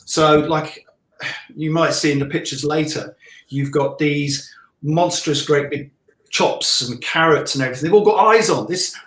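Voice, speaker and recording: A middle-aged man talks in a casual, easygoing way with dry jokes at his own expense. His voice sits a little high, and the room adds clear echo. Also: measured pace, fairly bright tone. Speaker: illustrator guest. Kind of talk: casual online live chat. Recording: echoey room sound.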